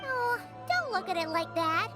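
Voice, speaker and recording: A woman voicing a small, excitable, loyal fairy companion in a video game, with a squeaky childlike voice. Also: measured pace, full character voice. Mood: encouraging. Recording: loud music under the voice.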